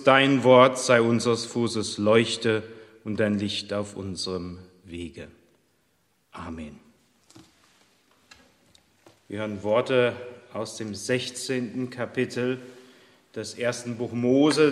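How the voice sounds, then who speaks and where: A male pastor leading prayer and reading scripture in a measured, solemn manner during a church service. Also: mid-range voice, a bit bright, in a large hall.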